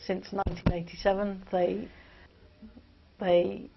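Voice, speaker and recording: A woman's voice: medium pitch, flat delivery, slow pace, gravelly, dull audio, background noise. A woman in her fifties giving a hesitant, unscripted testimonial as a patient. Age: 50s.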